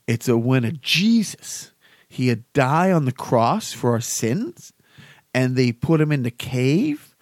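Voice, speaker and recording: A man voicing an earnest, pious man with a put-on Portuguese accent, in slow broken English. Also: mid-range voice, big pitch swings, no echo, clean studio sound, pitched below the performer's own voice.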